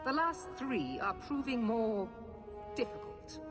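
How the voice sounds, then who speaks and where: An actress playing a stern, zealous knight commander in a video game, formal and imperious. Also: female voice, a little high, animated, slow. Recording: long hall reverb, music behind.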